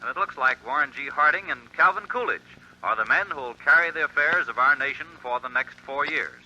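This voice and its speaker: A hurried early radio announcer rushing out fresh bulletins with brisk excitement. His voice is bright and sharp, at a middling pitch. The sound is dull and lo-fi, like an old 1940s radio recording. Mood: excited.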